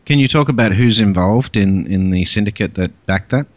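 A man's voice: on the low side, normal speed, no echo. A man from Australia hosting a podcast, chatting casually with lots of fillers and restarts. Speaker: podcast host. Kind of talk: casual interview chat.

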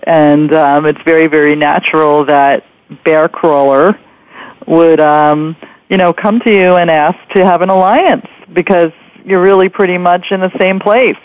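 A woman hosting a conversational interview, speaking in deliberate, halting phrases, on lo-fi radio audio. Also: low voice, fairly even intonation, dry recording. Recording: dull lo-fi radio sound.

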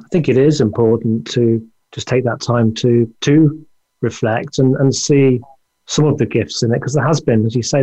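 A man from England hosting an interview show on a video call, speaking in a halting way with many restarts. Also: mid-range voice, dark tone, dull audio. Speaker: podcast host. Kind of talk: casual interview chat.

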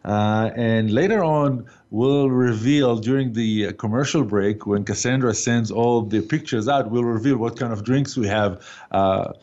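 Hesitant host with a muffled sound. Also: male voice, mid-range voice, unhurried, darkish tone. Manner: hesitant, many fillers. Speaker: talk show host. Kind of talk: casual interview. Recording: dull lo-fi sound.

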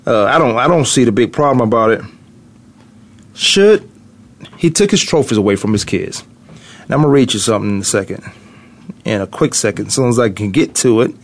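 A man's voice: medium pitch, lively intonation, fairly quick, pauses often. A middle-aged man hosting a morning sports talk radio show from a studio, rambling and thinking aloud as he goes. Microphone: studio mic.

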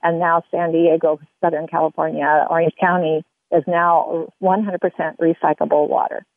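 Creaky-voiced woman hosting a phone-like radio interview. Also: deep voice, little pitch movement, moderate pace, no room sound. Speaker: radio show host. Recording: narrow, tinny phone-like radio sound.

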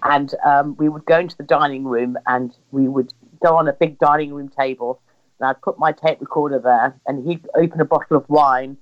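A woman's voice: low-pitched, fairly even intonation, moderate pace. A woman with an English accent telling personal family stories in conversation, acting out remembered dialogue as she goes. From England. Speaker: interviewee recounting family history. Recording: slightly dull, lo-fi sound.

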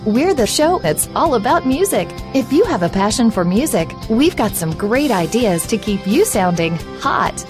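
Woman announcer, high voice, lively swooping delivery, scripted promo read, music bed behind. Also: moderate pace, few pauses. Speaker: radio announcer.

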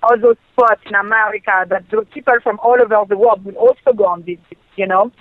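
A woman's voice: mid-range voice, steady tone, brisk, no room sound. A woman from France with a French accent, chatting casually as a guest over the phone and acting out her own quoted words. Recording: muffled phone line, dry.

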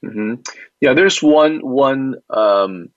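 A man speaking as an interview guest, explaining his product conversationally in a halting way with repeated words. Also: medium pitch, animated, no room sound. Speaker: company founder and CEO, interview guest. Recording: dull lo-fi band-limited audio.

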